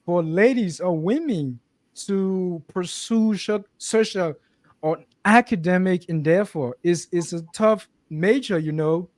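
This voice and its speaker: A man's voice: high-pitched, slow pace, darkish tone, no echo. A man from Africa hosting a casual interview, affirming and repeating words for emphasis. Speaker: interview host.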